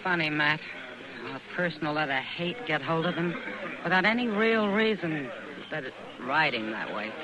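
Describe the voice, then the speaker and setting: A woman playing a worldly, easygoing frontier saloon woman in an old radio western, relaxed and knowing in manner. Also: low voice, moderate pace, lo-fi sound. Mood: reflective. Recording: old radio recording, crowd noise behind.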